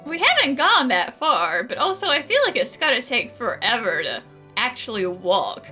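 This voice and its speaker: A woman with a high, very animated voice commentates casually on a game. She is playful and wry, answering characters as if chatting, over background music. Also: normal speed. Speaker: gaming streamer playing and reacting. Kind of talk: casual, joking let's-play commentary.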